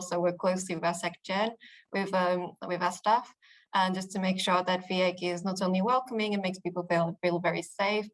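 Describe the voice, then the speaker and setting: A woman's voice: fairly low pitch, low-quality recording. A young woman with a British accent, answering interview questions in a casual, earnest way with frequent ums and restarts. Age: young adult. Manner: earnest, upbeat, many fillers and restarts. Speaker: interviewee, youth political spokesperson.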